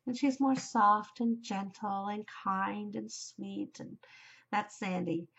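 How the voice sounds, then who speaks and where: Chatty Canadian woman host, loud and enthusiastic, dull home mic. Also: mid-range voice, fairly even intonation, slow pace, gravelly, husky. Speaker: knitting podcast host. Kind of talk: casual chat. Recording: slightly dull home recording.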